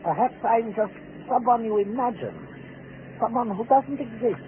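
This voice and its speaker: An actor playing a mysterious, ominous stranger who is a messenger of death in a 1940s radio drama, deliberate and insinuating in his politeness. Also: very high voice, narrow, tinny audio. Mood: calmly ominous. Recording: scratchy band-limited 1940s radio recording.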